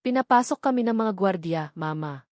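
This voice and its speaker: Woman reading serious grown son, doctor type, steady and determined, audio story, dry sound. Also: medium pitch, normal speed, dry recording, lightly acted. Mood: calm, firm. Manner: firm, serious.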